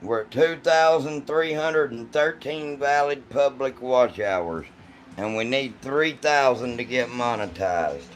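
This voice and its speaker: This is a man with a rough, weathered voice hosting a casual livestream. He talks in a folksy, joking, foul-mouthed way as he answers his chat. A little background noise sits behind his voice. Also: expressive, slow. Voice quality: deep, rough voice from vocal cord polyps.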